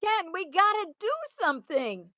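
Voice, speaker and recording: A woman's voice: very high-pitched, sing-song, moderate pace, bright, forceful tone, full character voice. A woman playing a frightened, pleading wife fleeing danger, in a screenplay table read. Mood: panicked.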